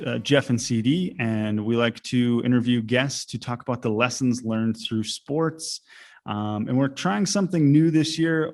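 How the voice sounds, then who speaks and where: A man with an American accent, casually hosting an interview podcast. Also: mid-range voice. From United States. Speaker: podcast host.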